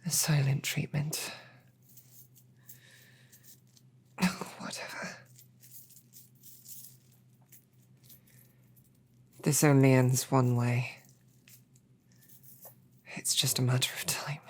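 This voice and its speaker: A defiant female knight held captive in an audio roleplay, acted out by a woman. Her voice sits very low with a husky grain, and she speaks slowly. Her phrasing is courtly and loyal, cut with dry snark. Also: steady tone, full character voice. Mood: frustrated, despairing. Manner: formal, chivalrous phrasing mixed with dry sarcasm. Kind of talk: scripted audio roleplay drama.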